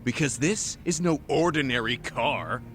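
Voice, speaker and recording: A man's voice: medium pitch, unhurried, lightly acted. An actor voicing a pushy, condescending male swindler in a video game, brusque and blustering. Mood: arrogant, demanding. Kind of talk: video game cutscene dialogue. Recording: music playing behind dialogue.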